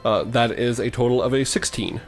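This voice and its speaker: A man narrating a tabletop game as game master, improvising in a halting way. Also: mid-range voice, soft background music.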